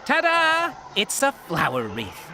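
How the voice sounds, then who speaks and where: Bubbly, swooping teasing performer. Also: female voice, high voice, wildly swooping pitch, slow, over a noisy crowd, full character voice. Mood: playful, teasing. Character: playful teasing theater performer, bubbly young woman. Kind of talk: video game dialogue.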